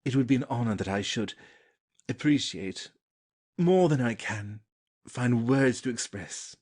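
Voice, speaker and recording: A man playing a shy, courteous young lord who speaks formally and haltingly in an audio stage play. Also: medium pitch, a little mellow, slightly rough. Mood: earnest, grateful.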